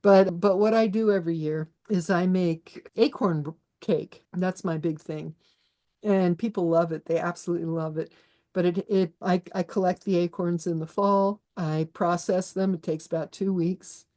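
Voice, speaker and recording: A woman guest born in Austria talks through her subject in a loose, conversational way. Her voice sits low and mellow, with frequent restarts and small laughs along the way. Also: steady tone, moderate pace. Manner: chatty, many restarts, laughs while explaining. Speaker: author and herbalist guest. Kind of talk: conversational interview.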